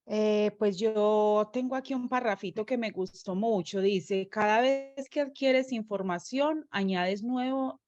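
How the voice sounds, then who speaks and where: A woman's voice: medium pitch, measured pace, few pauses, a little mellow, dry recording, highs cut off. A woman in a reading group reading a favourite passage aloud and reflecting thoughtfully on it. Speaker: reading club participant.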